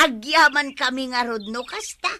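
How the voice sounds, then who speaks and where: A woman with an Ilocano accent playing a flattering village worker, drawing out her words coaxingly in a radio comedy drama. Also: sits high, slow pace.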